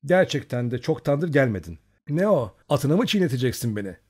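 A man voicing a proud young village girl in a storybook reading, cool and sharp-tongued. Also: mid-range voice, very animated, a little fast, husky, voice pushed up. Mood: cold.

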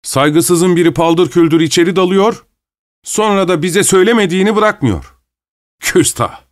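Man acting out flustered bank treasurer, offended, protesting, raised pitch, storybook character. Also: moderate pace, no room sound, clean studio sound, natural voice. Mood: indignant.

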